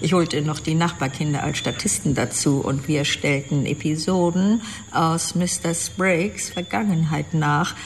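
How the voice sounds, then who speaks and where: A woman's voice: deep voice, fairly even intonation, unhurried, hardly any pauses, slight background noise. An actress in a radio drama playing an older, genteel but vengeful wife and mother, speaking formally and with icy, pointed deliberation. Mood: bitter, wounded. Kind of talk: German radio drama acting.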